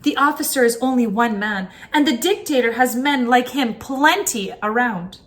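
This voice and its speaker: A woman's voice: on the high side, moderate pace, fairly bright tone, natural voice. A woman voicing a clever, determined village girl in a children's story, earnest and exclamatory. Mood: worried.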